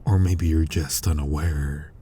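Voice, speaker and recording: A very low, husky male voice acted by a man for a centuries-old vampire hunter in an audio roleplay. He is menacing and dominant, speaking slowly and breathily, with taunting pauses and a tendency to sink toward a whisper. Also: fairly even intonation, mellow, heavily acted. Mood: intrigued, suspicious. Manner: commanding, interrogating, taunting with slow pauses. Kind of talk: dramatic ASMR roleplay, intimate and slow.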